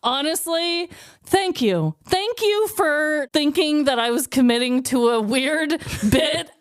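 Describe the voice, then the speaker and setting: A woman's voice: high voice, lively intonation, takes it slowly, no room sound, studio quality. A woman co-hosting a podcast, telling stories casually and comically in an informal, giggly manner. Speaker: podcast co-host. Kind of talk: casual comedic storytelling chat.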